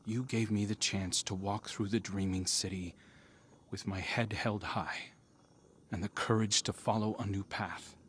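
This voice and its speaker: An earnest outcast hero speaks in a lowish voice at a slow pace. He is humble, sincere and reflective, a game character with faint noise behind him. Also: fairly even intonation, a bit bright. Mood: heartfelt, grateful. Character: earnest, humbled male outcast hero finding his path.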